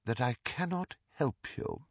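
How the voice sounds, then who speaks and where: A man's voice: mid-range voice, expressive, unhurried, slightly rough, no room sound, dull audio, pitched above the performer's own voice. A man voicing a proud, cold young woman ghost in an audiobook, with a stiff, disdainful and guarded manner. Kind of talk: audiobook character voice.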